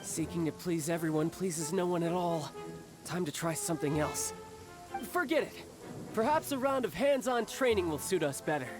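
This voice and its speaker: Lively, confident young warrior commander played by a man. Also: fairly high pitch, moderate pace, lightly acted. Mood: commanding, playful. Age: young adult. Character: confident, teasing young male warrior commander and doting older brother. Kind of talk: video game voice acting. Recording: background music under the dialogue.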